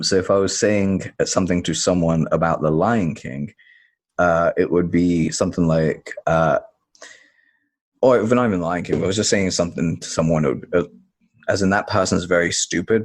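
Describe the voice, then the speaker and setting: Very deep-voiced man. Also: moderate pace. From Tanzania. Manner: relaxed, rambling, self-correcting. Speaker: guest. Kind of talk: podcast interview conversation.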